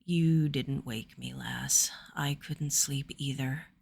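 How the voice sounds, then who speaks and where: A woman narrator voicing a tired, kindly young male warrior in a fantasy story, quiet and unhurried. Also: very deep voice, steady tone, creaky, breathy edge, exaggerated voice. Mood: weary, polite.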